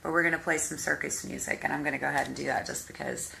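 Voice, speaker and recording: A woman hypnotherapist with a deep voice, guiding the listener through a visualization in slow, calm, step-by-step instructions. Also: gravelly, very noisy. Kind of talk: guided visualization exercise.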